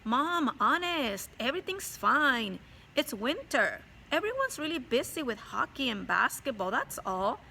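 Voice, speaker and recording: A schoolboy from a children's book speaks up, voiced by a woman reading aloud. He sounds firm and keen to reassure. The voice is high, bright and carries a little fry. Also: big pitch swings, unhurried, slight background noise, heavily acted. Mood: insistent. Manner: insistent, reassuring. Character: schoolboy brushing off worry, guarded.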